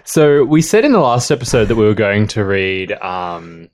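A man's voice: fairly low pitch, expressive, normal speed, slight background noise. A man hosting a casual book podcast, playful and rambling, with a lot of fillers and jokes. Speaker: podcast host.

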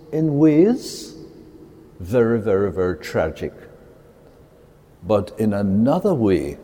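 A priest from Jamaica, giving an earnest, halting talk to a church audience, with pauses and repeated words. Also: male voice, low voice, dark tone, a little hiss or hum. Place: large cathedral. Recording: long hall reverb in a cathedral.

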